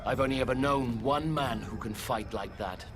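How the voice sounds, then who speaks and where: An actor playing a grave, resolute older Roman general in a video game cutscene, solemn and commanding. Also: male voice, medium pitch, normal speed, natural voice. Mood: grave, urgent. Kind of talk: cinematic video game acting.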